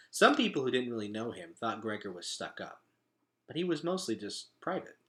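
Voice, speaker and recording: A man reading a storybook aloud as narrator, in an expressive style. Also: medium pitch. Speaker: storybook reader.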